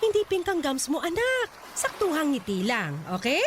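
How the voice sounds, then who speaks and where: A woman's voice: high voice, wildly swooping pitch, moderate pace. A woman voicing a pushy, domineering middle-aged stage mother who scolds and brushes off objections, in an audio drama. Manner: bossy, scolding, dismissive.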